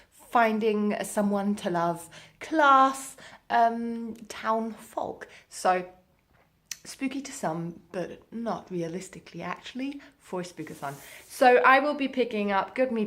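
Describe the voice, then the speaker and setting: A woman's voice: medium pitch, animated, slow, a bit bright. A woman chatting casually as a book vlogger, in an informal, self-deprecating way. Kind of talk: casual chatty reading vlog.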